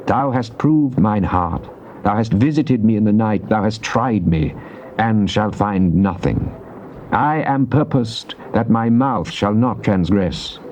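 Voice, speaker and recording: An actor playing a devout, earnest psalmist, reciting scripture in a solemn, measured, declamatory theatrical style. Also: male voice, fairly low pitch, a little mellow. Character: devout psalmist, earnest man praying to God. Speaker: dramatized scripture reader. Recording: dull noisy cassette tape transfer.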